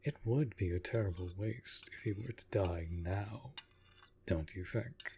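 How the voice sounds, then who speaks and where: A man playing a courtly, protective vampire lord in a roleplay audio drama, speaking softly and formally in measured, deliberate phrases. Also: on the low side, vocal fry, a little hiss or hum. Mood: dry, protective. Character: courtly, protective male vampire master of a manor.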